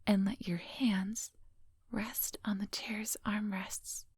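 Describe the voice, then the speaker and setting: A woman playing a coolly menacing, coaxing vampire hypnotist in a soft whispered ASMR roleplay, close to the microphone. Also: mid-range voice, flat delivery, slightly rough, dry recording. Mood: coaxing, controlled. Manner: slow, coaxing, hypnotic instructions. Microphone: close microphone, dry.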